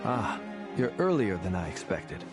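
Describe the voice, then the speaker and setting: Low-voiced, courtly game warrior. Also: male voice, sing-song. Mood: composed. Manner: formal, eloquent, unhurried in thought. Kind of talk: video game voice acting. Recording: game music behind the voice.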